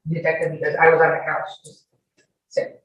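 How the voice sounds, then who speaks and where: A woman's voice: low voice, completely flat delivery, fast talker, highs cut off. A woman giving testimony as a witness in a courtroom, emphatic and defensive in her answers. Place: indoor courtroom. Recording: very echoey, distant, faint hiss.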